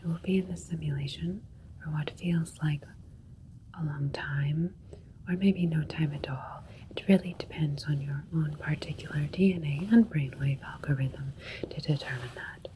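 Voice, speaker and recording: A woman voicing a calm, clinical female alien doctor in an ASMR roleplay, soothing and methodical in a soft, breathy whisper. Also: low voice, moderate pace, a little hiss or hum, close to an everyday voice, low-quality recording.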